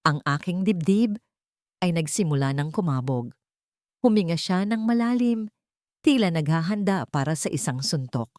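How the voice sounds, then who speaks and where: A woman with a fairly low voice narrates a scripted drama. Her delivery is deliberate, solemn and full of feeling, recorded close and dry. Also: darkish tone, no room sound. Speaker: audio story narrator. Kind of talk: dramatic scripted first-person story narration.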